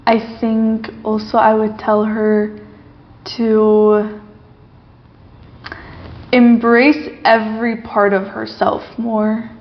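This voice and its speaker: A woman's voice: a little high, completely flat delivery, extremely slow, pauses often, highs cut off. A young woman from Egypt speaking as an interview guest, reflective and earnest, with frequent fillers and restarts. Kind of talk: casual interview.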